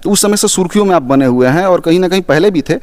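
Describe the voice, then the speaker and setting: A man's voice: high voice, expressive, fast talker, a little mellow. A man working as an interviewer, putting persistent, pointed questions in a probing style.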